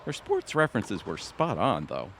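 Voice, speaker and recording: A man playing a wry, exasperated middle-aged husband in a comedic audio drama, often deadpan. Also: lowish voice, moderate pace, vocal fry, slight background noise, heavily acted.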